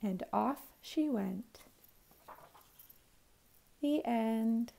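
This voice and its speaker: A woman, a teacher reading a children's storybook aloud in an expressive, clearly phrased way. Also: on the high side, extremely slow. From Canada.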